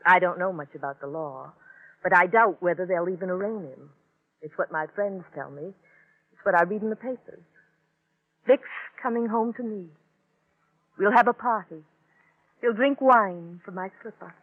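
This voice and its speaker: An actress playing a sardonic, haughty stage star in an old-time radio crime drama, cool, wry and imperious. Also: female voice, lowish voice, moderate pace, long pauses, natural voice. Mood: confident, dreamy. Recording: tinny, telephone-like old radio recording.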